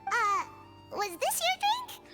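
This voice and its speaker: Tiny floating fairy sidekick: childlike, excitable, piercingly high video game voice. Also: female voice, slow, over music, exaggerated voice. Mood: sheepish. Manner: refers to self in third person, excitable. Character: tiny floating childlike fairy companion, chirpy and greedy for food.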